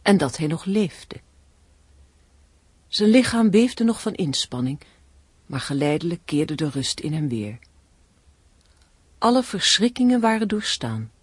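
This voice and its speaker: A woman's voice: fairly low pitch, big pitch swings, unhurried, pauses often, husky, dry recording. A woman narrating and announcing a radio play in a scripted, literary style.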